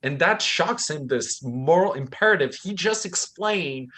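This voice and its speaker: A man's voice: a little high, lively intonation, unhurried, bright, forceful tone. A man who grew up in Thailand, co-hosting a book discussion, talking with conviction and acting out the people he quotes. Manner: impassioned, acts out quoted speakers. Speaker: co-host.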